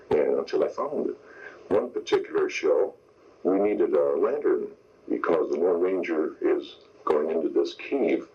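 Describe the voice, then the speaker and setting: Older male interview guest, low husky voice, explanatory and methodical, on dull lo-fi radio tape. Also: a little mellow. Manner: explanatory, step by step. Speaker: veteran radio sound effects man, interview guest. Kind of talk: interview reminiscence.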